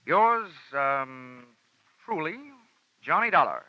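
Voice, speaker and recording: A man's voice: on the high side, lively intonation, takes it slowly, a little hiss or hum. An actor playing a wry, hard-boiled insurance investigator narrating his case in 1940s radio acting. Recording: muffled old radio broadcast.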